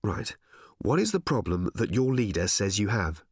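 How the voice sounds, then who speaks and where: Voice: low voice, big pitch swings, moderate pace, a little mellow, breathy edge, no room sound, studio quality, exaggerated voice. A storyteller voicing a flustered professional woman reporter who tries to stay composed while asking incredulous questions. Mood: incredulous, probing.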